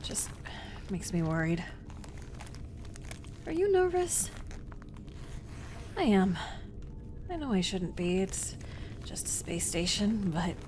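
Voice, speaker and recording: A young woman playing an anxious, warm-hearted spaceship crew member in an audio roleplay, speaking in a hesitant, confiding, casual way. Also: on the low side. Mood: nervous, confiding. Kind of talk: audio roleplay acting, intimate and chatty. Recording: clear background ambience noise.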